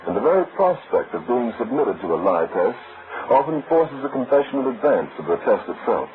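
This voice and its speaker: A man's voice: medium pitch, sing-song, brisk. A man narrating a radio crime drama in a grave, old-fashioned scripted style that builds suspense. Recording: muffled, noisy vintage radio recording.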